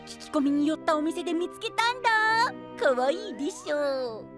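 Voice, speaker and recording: A man's voice: very high voice, animated, normal speed. A man voicing a small, innocent, eager boy knight in an anime-style voice drama, talking in a cutesy, wide-eyed way. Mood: cheerful, playful. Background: background music.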